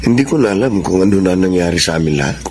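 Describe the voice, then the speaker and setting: Deep, grateful Filipino father. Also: animated, rapid-fire, a little mellow, slight background noise. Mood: grateful, heartfelt. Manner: heartfelt. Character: grateful middle-aged father in prison. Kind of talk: Filipino radio drama acting.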